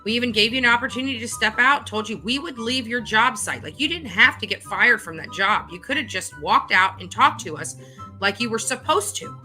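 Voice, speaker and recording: A woman's voice: a little high, lively intonation, brisk, pushed and bright, soft background music, low-quality recording. A woman speaking off the cuff in an indignant, emphatic, self-justifying way, like a vlog host. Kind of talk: unscripted monologue to camera.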